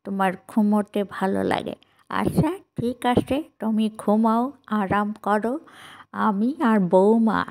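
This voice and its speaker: Woman voicing an older cartoon mother-in-law, medium pitch, stern, questioning, scolding. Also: darkish tone. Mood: stern, resolute. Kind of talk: cartoon voice acting.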